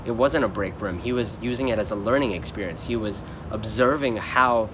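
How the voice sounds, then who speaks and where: A man's voice: lowish voice, a little fast, noisy background. A man, a dancer with the company, chatting casually and earnestly, often restarting his sentences. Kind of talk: casual chat.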